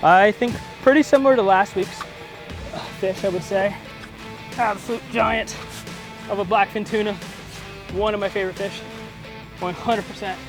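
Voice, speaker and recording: A man's voice: high voice, steady tone, moderate pace, pauses often. A man hosting a casual fishing vlog outdoors on a boat. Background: music and boat sounds.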